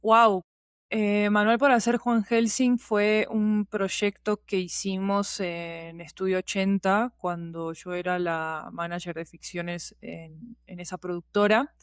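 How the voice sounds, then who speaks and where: A woman's voice: mid-range voice, flat delivery, slow pace, smooth, no echo, clean studio sound. A woman talking as an interview guest, explaining in a conversational storytelling way and checking that the listener follows. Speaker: podcast producer, interview guest.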